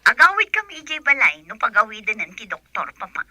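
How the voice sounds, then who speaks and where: Actress as pleading ilocano daughter, really high sharp voice, dry sound. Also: female voice, very animated, a little fast, dry recording, heavily acted. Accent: Filipino, Ilocano. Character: pleading, scheming grown daughter.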